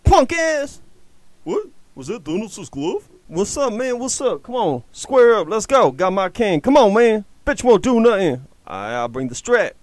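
A comedy dubber with a very animated, swooping delivery. The talk is slangy, exaggerated trash talk, improvised over clips. The recording is close and dry. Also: mid-range voice, a little slow, no room sound. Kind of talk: improvised comic voice-over dubbing of video clips.

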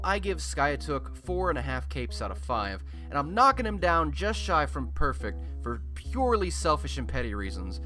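A man's voice: mid-range voice, a bit bright. A man playing a chatty, irreverent radio host from a superhero city, talking in a wry, casual monologue. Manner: wry, conversational, mildly sweary.

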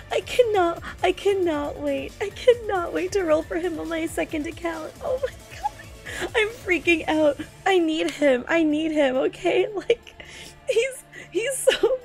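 An extremely high-voiced woman hosts an excited reaction video. She swings wildly up and down as she gushes and squeals, repeating phrases over and over, with music playing behind her. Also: a little slow. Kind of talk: excited live reaction commentary. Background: game trailer soundtrack.

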